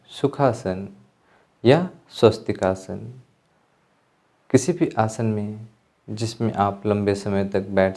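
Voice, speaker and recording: Man, meditation instructor, low voice, very slow pace, calm measured phrasing, pauses between instructions. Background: music.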